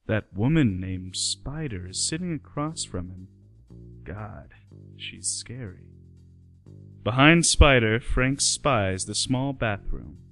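A man with a fairly low voice narrates a radio play from a script. Music plays behind him. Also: animated, slow, vocal fry, a bit bright.

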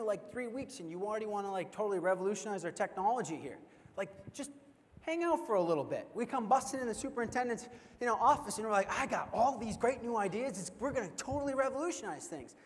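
A man in his thirties delivers a lively keynote on a large convention stage and acts out the reactions he quotes. His voice sits high, and a long reverb rolls through the hall behind his headset mic. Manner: animated, acts out quoted reactions, repeats words when excited. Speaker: keynote speaker. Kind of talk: energetic conference keynote.

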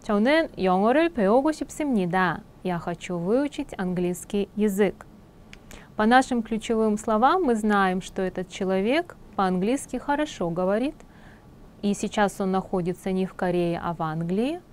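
A woman's voice: mid-range voice, expressive. Woman teaching Korean in Russian. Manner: reads Korean sentences quickly, then translates slowly into Russian. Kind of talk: exam prep lesson.

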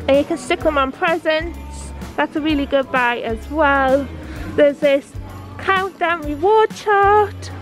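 Chatty Geordie woman shopping in a busy store. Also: sits high. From Newcastle upon Tyne, England. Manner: chatty, enthusiastic, reads out prices. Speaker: family vlogger. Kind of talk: casual shop-with-me vlog. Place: large indoor discount store. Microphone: handheld camera. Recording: shop music and crowd noise behind. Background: store music and shoppers.

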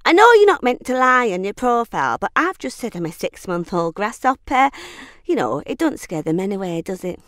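A woman's voice: fairly high pitch, very animated, moderate pace, a bit bright, no room sound, studio quality. A woman voicing a gossipy, man-hungry ageing female praying mantis in a comic monologue. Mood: gossipy, rueful.

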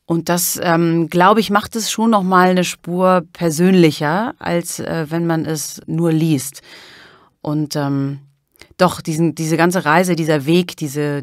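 Low-pitched woman in a thoughtful interview. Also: no echo, studio quality. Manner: thoughtful, halting, searching for words. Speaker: interviewed audiobook narrator. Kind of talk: reflective interview answers.

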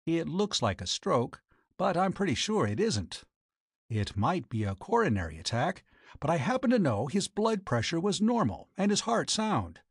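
A man's voice: fairly high pitch, sing-song, normal speed, breathy edge, no echo, clean studio sound, pitched above the performer's own voice, exaggerated voice. A man voicing a young doctor in an audiobook story, frank, puzzled and emphatic. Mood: brisk, puzzled. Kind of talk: audiobook character voice.

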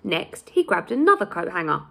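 A woman in her thirties reads a children's storybook aloud like a teacher, deliberate and expressive, with dramatic pauses. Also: lowish voice, creaky. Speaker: primary school teacher reading aloud. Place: small plain room indoors.

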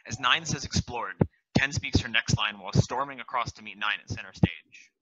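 A man's voice: mid-range voice, moderate pace, sharp tone, no room sound, highs cut off. Young man reading stage directions, dry. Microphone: gaming headset on video call.

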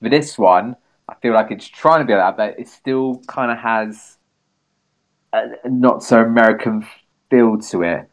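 A British man co-hosting a music reaction, thinking aloud and searching for words as he gives his view. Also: medium pitch. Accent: British English. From Britain. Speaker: co-host. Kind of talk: casual reaction chat.

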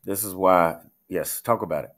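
A man's voice: low-pitched, normal speed, fairly bright tone. A man hosting a video call talk, answering his guest with short call-and-response affirmations. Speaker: host. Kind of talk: affirming interjections. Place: indoor room, video call. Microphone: webcam video call.

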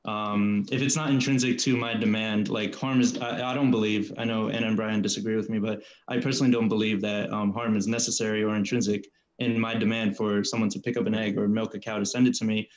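A young man debating over a video call, hesitant and polite, with many pauses. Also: fairly low pitch, little pitch movement, low-quality recording. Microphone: webcam video call.

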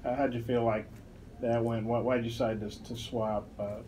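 A man's voice: mid-range voice, little pitch movement, normal speed, mellow, a little hiss or hum, rough recording. A man, a reporter, asking questions at a press conference and repeating words as he starts.

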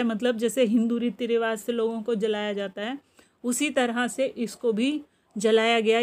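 A woman with an Indian accent, teaching a school lesson, reading out and explaining directly to pupils. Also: on the high side.

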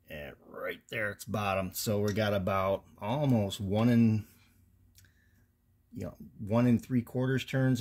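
A man giving a casual, plainspoken technical how-to while working on an engine in a garage, filmed close on a handheld phone, with frequent ums and restarts. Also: fairly low pitch. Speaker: home mechanic. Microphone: handheld phone camera close over engine.

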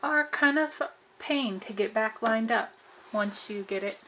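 A woman's voice: fairly high pitch, unhurried, noisy background. A woman in her thirties giving a chatty, upbeat tour of her camper renovation, filmed inside a small camper trailer. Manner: chatty and upbeat, showing things off. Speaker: vlogger. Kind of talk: casual home renovation tour.

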